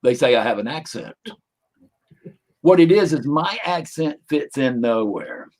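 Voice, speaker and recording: A man's voice: fairly high pitch, animated, a little slow, a little mellow. An older man, a demonologist guest in a casual livestream interview, talking in a rambling, folksy way with jokey asides.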